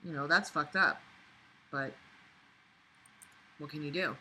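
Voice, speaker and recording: A woman's voice: low voice, slow pace, pushed and bright, a little hiss or hum, rough recording. A woman reading a book aloud and breaking in with sarcastic, indignant, sweary commentary. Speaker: vlogger reading and critiquing a novel.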